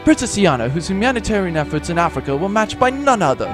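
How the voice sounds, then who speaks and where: A man's voice: high voice, very animated, quick pace, music in the background. A man voicing a glib, cocky space captain hero who makes grandiose speeches in a comedic audio drama. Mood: mock-earnest oratory.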